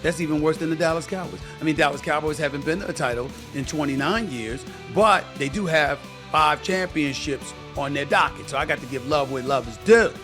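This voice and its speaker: A man's voice: a little high, a little fast, hardly any pauses. Emphatic commentator man over music. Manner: emphatic, rhetorical questions to the listener. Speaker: sports commentator answering fan questions. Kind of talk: opinionated commentary. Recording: clip played with music underneath.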